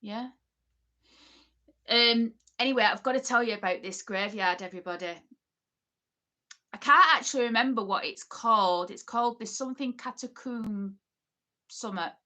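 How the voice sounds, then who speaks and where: A woman's voice: fairly high pitch, normal speed, long pauses, bright, forceful tone. Northern English woman with an Oldham accent, host of a casual live chat, rambling and full of asides. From England. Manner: chatty, rambling, many ums and asides. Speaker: livestream host. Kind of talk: casual livestream chat reading comments.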